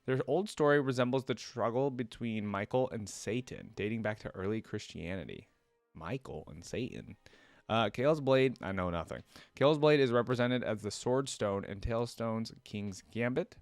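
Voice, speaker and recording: A man's voice: mid-range voice, lively intonation, moderate pace, dry recording. A man hosting a reaction video, reading trivia aloud in a casual way with short asides to himself.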